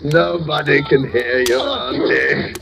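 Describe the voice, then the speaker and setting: A man's voice: fairly high pitch, takes it slowly, creaky. An actor playing a greedy, murderous young man who taunts with mock affection in an old radio drama. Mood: gloating, menacing. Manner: silky, taunting, mock-tender. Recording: noisy old radio recording with clicks.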